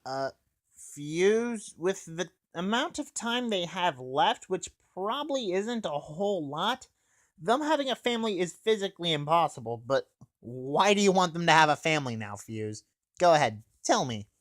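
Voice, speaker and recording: A man's voice: high voice, big pitch swings, moderate pace. A young man giving a casual comedic review, talking in a sarcastic, sweary way. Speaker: anime reviewer.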